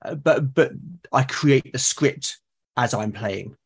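A man's voice: medium pitch, slow pace, slightly rough. A man in a conversational interview as a podcast guest, explaining his creative process and often repeating words as he thinks aloud. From Britain.

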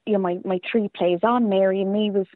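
A woman's voice: medium pitch, steady tone, quick pace, no room sound. A woman with an Irish accent being interviewed, chatting casually and reflectively in a self-deprecating way over a phone-like remote line. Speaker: playwright and performer being interviewed.

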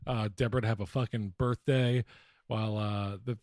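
A man's voice: on the low side, fairly even intonation, moderate pace, a little mellow, no echo, clean studio sound. A man co-hosting a podcast in casual chat, joking and swearing freely. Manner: profane, joking. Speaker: podcast co-host.

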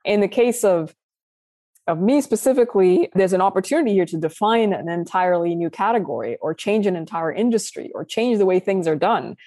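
A young adult woman from Sudan, a founder answering interview questions in a casual, animated way, laughing often and restarting sentences. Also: medium pitch, fairly quick, no room sound. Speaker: guest founder.

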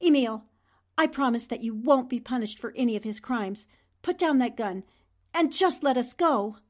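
An actress plays a plucky lady reporter in a vintage radio thriller. Her voice is high, and she delivers every line with emphatic, indignant drama. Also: animated, moderate pace. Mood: urgent pleading. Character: bold, indignant newspaperwoman confronting a villain. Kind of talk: 1940s radio drama acting.

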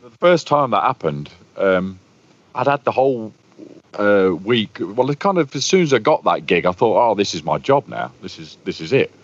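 A man with a deep, boomy voice, a bass player chatting as a guest and telling long stories. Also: big pitch swings, normal speed. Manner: talkative, storytelling. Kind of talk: casual conversation. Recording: muffled lo-fi audio.

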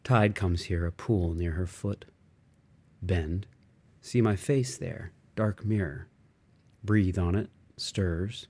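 A middle-aged man narrates literary fiction in a deep, dark-toned voice. He takes his time, setting down short, broken thoughts one by one with measured pauses. The delivery is very slow and relaxed. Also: husky. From United States. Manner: measured, fragmentary phrasing with pauses. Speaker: audiobook reader. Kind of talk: literary narration, stream-of-consciousness reading.

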